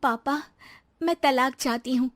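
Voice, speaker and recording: A woman's voice: high voice, a little slow, pitched above the performer's own voice. A woman voicing an earnest, put-upon young daughter-in-law in a moral story, with a pleading manner. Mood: distressed, earnest. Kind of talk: animated moral story character voice.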